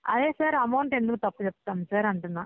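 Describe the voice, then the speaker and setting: A woman working as a loan recovery agent on a phone call, polite and persistent but halting. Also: a little high, expressive, no room sound. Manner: polite, persistent, halting, repeatedly says sir. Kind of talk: debt collection phone call. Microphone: phone line. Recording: thin, band-limited phone call recording.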